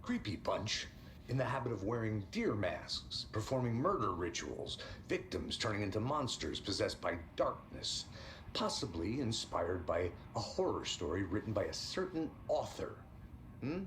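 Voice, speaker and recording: Game investigator: wry and needling, clipped delivery, lively intonation on a slightly low voice. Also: male voice, normal speed, slight background noise, rough recording. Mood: sardonic, needling. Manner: wry, pointed, clipped phrases. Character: sardonic, needling male investigator. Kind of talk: dramatic video game acting.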